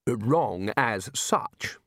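A man's voice: mid-range voice, expressive, extremely slow, fairly bright tone, no echo, clean studio sound, heavily acted. A man voicing a blustering, flustered senior wizard in a comic audiobook, emphatic and given to repeating himself. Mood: flustered, placating. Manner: emphatic, repetitive, hastily placating.

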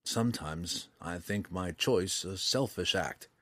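A melancholy young dark elf played by a male audiobook narrator. He is quiet, guarded and subdued. His voice is low and husky, in a dry recording. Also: takes it slowly, no echo, exaggerated voice. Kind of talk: audiobook character voice.

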